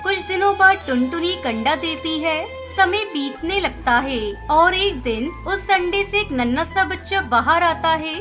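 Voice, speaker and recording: A woman reading scripted narration for a children's cartoon story. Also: very high voice. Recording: music under the narration.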